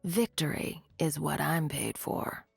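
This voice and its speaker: An actress playing a cocky, hard-bitten, gold-hungry mercenary warrior in a video game, with a husky, gravelly voice and terse, swaggering delivery. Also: female voice, low-pitched, slow, dry recording, soft background music, heavily acted. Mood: cool, menacing. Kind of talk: video game character voice lines.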